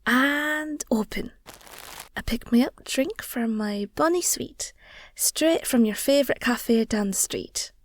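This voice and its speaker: Woman doing a high voiced werewolf girlfriend for audio rp, slow n tender, teasing, protective, slips into whispers. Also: slight background noise. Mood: teasing, affectionate. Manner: tender, teasing, murmured, often drops to whispers. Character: affectionate, playful, protective young werewolf girlfriend. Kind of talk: intimate girlfriend audio roleplay.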